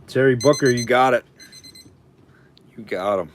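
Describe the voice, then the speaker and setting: A man calling a live auction, his voice going hoarse and scratchy from hours of talking. He sits at a middle pitch and rambles casually between bid calls, lifting his voice for each new number. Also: a little slow.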